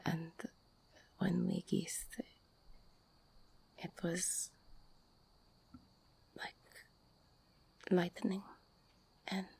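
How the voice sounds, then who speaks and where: A woman playing an older, tough ex-con girlfriend in an intimate audio roleplay, tender, teasing and insecure, confiding haltingly in a husky, half-whispered voice. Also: on the low side, flat delivery, warm tone, full character voice. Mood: tender, confessional. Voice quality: husky, gravelly, breathy.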